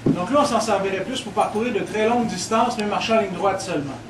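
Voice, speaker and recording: A man's voice: high-pitched, fast. A man from Quebec's North Shore with a Quebec French accent, giving a guided tour to visitors in a playful, teasing way. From Quebec, Côte-Nord. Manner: playful, teases the audience. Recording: distant camera audio with loud room noise.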